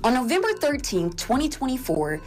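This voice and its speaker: A woman reporter delivering a broadcast news report in a measured newsreader manner. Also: high-pitched, big pitch swings, a little mellow, faint music behind.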